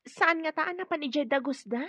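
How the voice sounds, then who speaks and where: Woman acting bright, squeaky youngster. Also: a little fast, no echo. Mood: curious. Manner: inquisitive, concerned. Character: young, attentive schoolchild talking to mother. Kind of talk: Ilocano radio drama acting. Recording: slightly muffled, dry studio recording.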